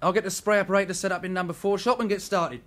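An actor playing a knowing, dry underworld garage boss in a radio crime drama. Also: male voice, sits high, fairly even intonation, very fast, a bit bright. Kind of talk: vintage radio drama acting.

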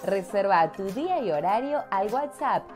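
A woman's voice: medium pitch, animated, normal speed, a little mellow. A woman with an Argentine accent reading a scripted advert as an announcer. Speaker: promo announcer. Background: music.

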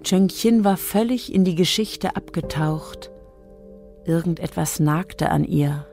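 An actress narrating a science fiction radio drama in a scripted storytelling style. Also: female voice, on the low side, takes it slowly, vocal fry, dark tone. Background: music.

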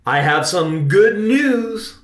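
A man's voice: sits high, animated, slow, reverberant, voice pushed up. A man voicing an affectionate, protective, jolly uncle in a children's audiobook, hearty and caring. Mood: cheerful.

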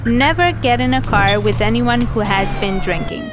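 Female narrator, higher register, scripted instructional read with music underneath. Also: lively intonation, normal speed.

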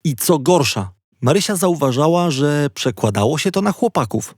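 A man's voice: fairly high pitch, animated, normal speed, a little mellow, no echo, studio quality. A man reading a children's audiobook, telling it conversationally with playfully wry asides. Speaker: audiobook narrator. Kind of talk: scripted narration of a children's audiobook.

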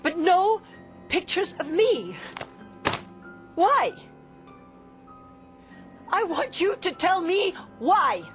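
An actress playing an emotional young android daughter in a radio drama, pleading and dramatic. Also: female voice, very high-pitched, very animated, extremely slow, pauses often, a bit bright, faint music behind, heavily acted. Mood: suspicious, urgent. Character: anguished young android daughter discovering she is a robot.

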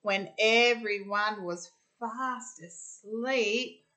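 An Australian woman in her thirties reading a picture book aloud to children in an expressive, sing-song way. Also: fairly high pitch. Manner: deliberate, sing-song storytelling delivery. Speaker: primary school teacher reading storytime. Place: home kitchen, indoors.

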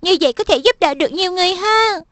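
A woman voicing an earnest young boy, the little brother, in a dubbed cartoon, with a high childlike voice. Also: animated, fast, fairly bright tone, no room sound. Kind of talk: cartoon voice dub.

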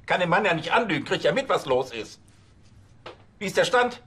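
An actor playing an irritable, flustered police chief who scolds and stammers in a TV crime comedy. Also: male voice, sits high, big pitch swings, fairly quick, a bit bright, slight background noise. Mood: exasperated. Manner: indignant, stammering. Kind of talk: German TV crime comedy acting.

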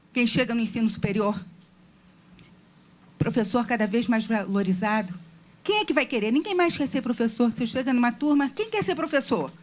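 A woman from Rio de Janeiro with a Rio accent, standing in a meeting room with a handheld microphone and asking a panel an earnest question, telling a story and posing questions. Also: fairly high pitch. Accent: Rio de Janeiro Portuguese. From Rio de Janeiro, Brazil. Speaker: audience member asking a question. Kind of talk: audience question at a public meeting.

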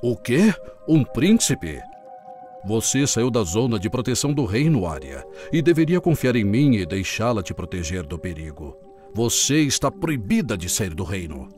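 A stern old sea king scolds in a low voice that swings widely in pitch, played by a man. Soft music runs behind him in this children's cartoon. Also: normal speed. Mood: angry. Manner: stern, scolding, lively intonation. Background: background music.

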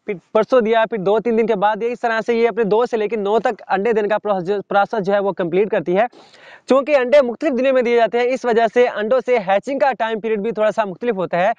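A young man hosting a wildlife channel, explaining calmly to the viewer while sitting on open sandy ground outdoors. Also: sits high, dry recording, dull audio. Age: young adult. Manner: measured, teacherly, addresses viewer directly.

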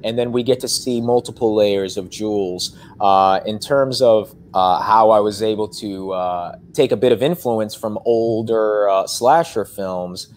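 A young man speaks from his living room couch over a webcam call. His voice sits on the low side and he talks animatedly, stacking fillers. A faint hiss of room noise sits underneath. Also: measured pace, hardly any pauses. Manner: animated, gestures a lot, frequent fillers. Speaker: actor, interview guest. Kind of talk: casual interview answers. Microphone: webcam on video call.

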